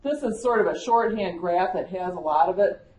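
A woman's voice: on the low side, expressive, normal speed, a little mellow. A woman with a Wisconsin accent, giving a conversational conference lecture as an agricultural climatologist, with frequent fillers. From Michigan, USA. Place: conference room. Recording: echoey lecture room.

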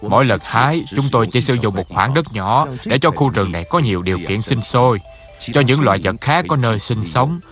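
A man voicing a kindly, serene older Buddhist master in a cartoon dub, calm and instructive. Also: medium pitch, fairly quick, soft background music, close to an everyday voice. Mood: calm, teaching. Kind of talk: animated film dub.

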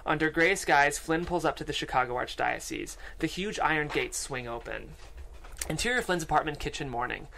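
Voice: mid-range voice, gravelly, a bit bright. A narrator reading out screenplay stage directions at a table read in a podcast studio. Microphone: studio microphone and headphones.

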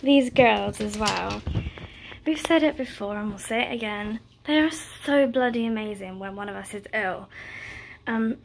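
A woman's voice: mid-range voice, a little slow, creaky, noisy background. A young British woman vlogging at home in a rambling, chatty way, stressed and close to tears. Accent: British English. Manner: rambling, chatty, with many fillers and run-on stories. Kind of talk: casual chat to camera. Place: at home, indoors.

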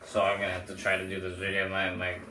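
Young male reviewer, low-pitched and hoarse, casual rambling delivery, echoey small-room camera audio. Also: monotone, fast talker, pushed and bright. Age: young adult. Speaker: host of playing card deck reviews. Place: small bedroom, indoors. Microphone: camera mic a short way off. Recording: roomy echo, clear background noise.

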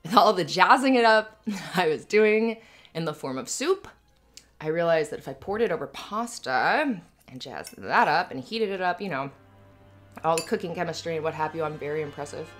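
Low-voiced, giggly, affectionate girlfriend acted by a woman. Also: animated, a bit bright, soft background music. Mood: giggly, enthusiastic. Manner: giggly, halting, rambling. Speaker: roleplay audio performer. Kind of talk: intimate girlfriend roleplay ASMR, conversational.

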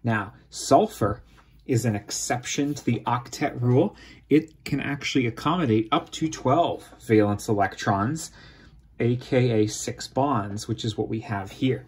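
A man's voice: mid-range voice, unhurried, slightly rough. A man teaching chemistry, explaining step by step in an informal tutorial style.

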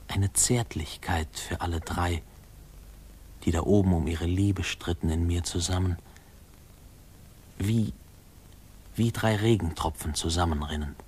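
A man's voice: sits low, darkish tone, husky. An actor playing a grown man remembering his boyhood, narrating a 1950s radio drama in a reflective, lyrical way. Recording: faintly noisy old radio recording.

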